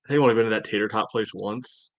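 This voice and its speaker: A man in his forties with a fairly low voice, chatting casually on a fan podcast. He talks in a hesitant, rambling way, and the sound is very dry, with no room on it. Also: racing, dry recording. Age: 40s. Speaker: soccer fan podcast co-host. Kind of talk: casual chat.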